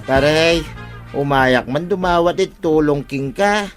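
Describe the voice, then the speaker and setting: An actor with an Ilocano accent playing a needy, naive villager who complains plaintively in a radio comedy drama. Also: male voice, a little high, slow, soft background music. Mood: pleading.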